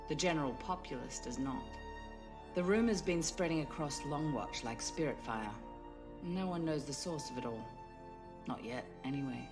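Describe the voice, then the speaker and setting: An actress playing a composed, scheming woman spymaster in a fantasy game, speaking in a measured, polished, coyly condescending way. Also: sits low, close to an everyday voice. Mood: cool, conspiratorial. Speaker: quest-giver in a fantasy game. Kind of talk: scripted game dialogue. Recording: game audio over music.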